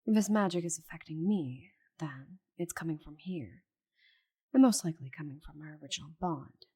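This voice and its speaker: A woman's voice: low voice, animated, normal speed, mellow, slightly rough, exaggerated voice. A woman voicing a proud, stubborn giant female dragon in an intimate ASMR roleplay, haughty and secretive, slipping into whispers. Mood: grave, puzzled.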